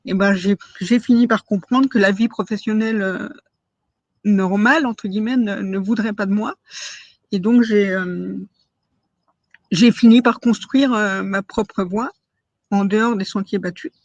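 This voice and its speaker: A woman's voice: mid-range voice, measured pace, no room sound, highs cut off. A woman in her forties giving a personal testimony in an interview, hesitant, with many fillers and restarts and words she stresses as if in quotes. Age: 40s.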